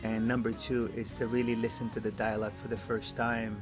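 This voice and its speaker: An earnest man speaking as an indie film director, thinking aloud with a halting delivery. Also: lowish voice, little pitch movement. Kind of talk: interview answers and addressing a group. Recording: background guitar music. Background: music.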